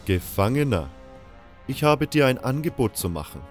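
Guy acting out a stern old fire wizard, imperious and proud, pitched up a bit, music behind. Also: sing-song, moderate pace, lightly acted. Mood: commanding. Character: proud, commanding grey-haired old fire mage. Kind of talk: fantasy audio drama acting.

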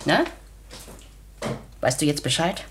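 An actress in a 1960s German radio play playing a hard-hearted wife, curt, sharp-tongued and dismissive. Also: female voice, low voice, lively intonation, slow, a little hiss or hum, exaggerated voice. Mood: cold. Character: cold, scornful, hard-hearted wife turning husband away.

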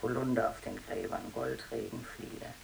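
An actress playing a middle-aged fugitive mother in a German radio drama, wistful and pleading, musing with soft trailing phrase endings. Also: very deep voice, huge pitch swings, moderate pace, creaky, a little hiss or hum, exaggerated voice, rough recording. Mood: sorrowful.

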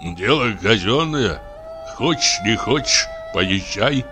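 A man voicing a surly, superstitious village sexton who grumbles and mutters gruffly. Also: on the low side, expressive, takes it slowly, music in the background, pitched above the performer's own voice. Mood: grumbling. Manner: accusing, grumbling.

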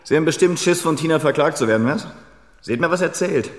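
Radio crime drama: actor as cheeky, nosy, blunt male flatmate, rapid delivery, reverberant stairwell acoustic. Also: on the high side, lightly acted. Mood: brash, nosy. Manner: cheeky, blunt colloquial talk. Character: brash, nosy male flatmate hoping for money.

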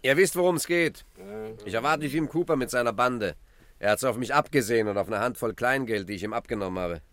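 An actor playing a cocky, cunning gentleman gangster boss with swaggering emphasis in a 1950s satirical crime radio play. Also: medium pitch, a little fast, lightly acted. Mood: commanding.

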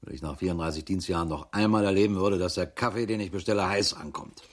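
An actor in a radio crime drama playing a sardonic, world-weary police chief in his fifties with a husky voice, grumbling as he talks. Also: low voice, fast. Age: 50s.

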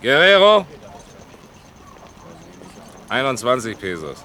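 An actor playing a brusque, impatient paymaster calling out names and wages in a German radio play. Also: male voice, mid-range voice, huge pitch swings, slow pace, sharp tone, exaggerated voice. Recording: outdoor street noise behind.

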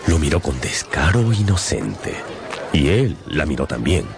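An actor narrating a dramatised radio novel as a wry, theatrical storyteller with knowing dramatic pauses. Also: male voice, very deep voice, very animated, gravelly, background noise, heavily acted. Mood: storytelling.

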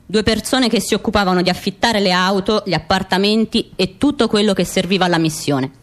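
An actress playing a stern secret agent recounting missions in measured, declarative radio theatre narration. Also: female voice, medium pitch, natural voice. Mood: factual.

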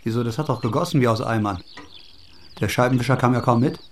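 A man's voice: mid-range voice, fast, a crowd in the background, close to an everyday voice. An actor in an audio drama playing a fussy, despairing city man, hesitant and appalled, repeating himself. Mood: confused.

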